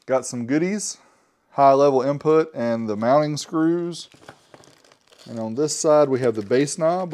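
A man's voice: medium pitch, darkish tone. A man in his forties casually unboxing and reviewing a product as host, chatty and joking, talking to himself now and then. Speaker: host reviewing car audio gear.

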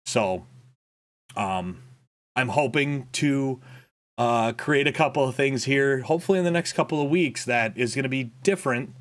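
A young man talking candidly in a personal vlog update, reflective and halting, with frequent fillers and restarts, recorded indoors in a small home room on a desk microphone. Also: medium pitch. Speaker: content creator. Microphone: boom-arm desk mic, wearing headphones.

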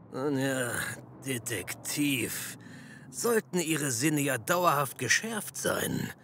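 An actor playing a pompous, disdainful police captain in a comedy audio drama, speaking stiffly and formally. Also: male voice, fairly high pitch, creaky, pushed and bright, slight background noise, full character voice. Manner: stiffly formal, haughty, hesitant pauses.

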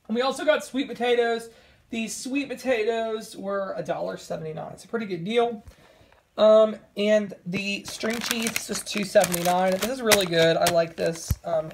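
A woman talking in a casual, rambling, informal way as a vlogger, with a creaky voice, recorded indoors at home on a handheld camera. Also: medium pitch, a little hiss or hum. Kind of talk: casual haul vlog chat. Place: indoors at home, over a table of groceries.

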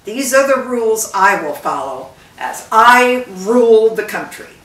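A woman's voice: on the high side, big pitch swings, unhurried, a bit bright, voice pushed up. An older woman voicing an earnest, proud prince pleading formally to rule in a story. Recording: roomy, distant microphone.

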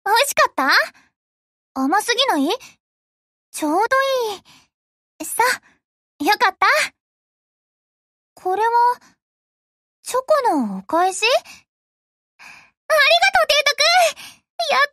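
A woman's voice: very high-pitched, big pitch swings, takes it slowly, long pauses, fairly bright tone, dry recording, clean studio sound, exaggerated voice. A woman voicing a sweet, polite young anime girl in a game, with a cutesy, careful manner. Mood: shy, then excited. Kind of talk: Japanese mobile game character lines.

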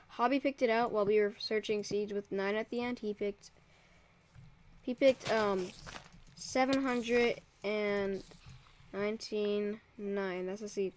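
A young man, probably a teen, casually commentating a Minecraft let's play as server host, rambling and easily startled. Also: high voice. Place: home room by a window. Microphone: desk mic, drifts off it.

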